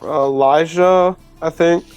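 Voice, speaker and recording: Smooth, clear-voiced male tabletop player. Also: a little high, steady tone, slow, darkish tone, soft background music. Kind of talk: casual in-character roleplay chat.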